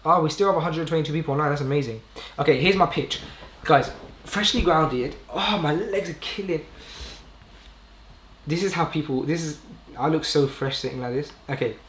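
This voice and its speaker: A man's voice: fairly high pitch, normal speed, fairly bright tone, slight background noise. A young British man hosting a casual live stream, talking informally in a rambling way and often correcting himself. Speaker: podcast host live streaming. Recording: roomy indoor echo.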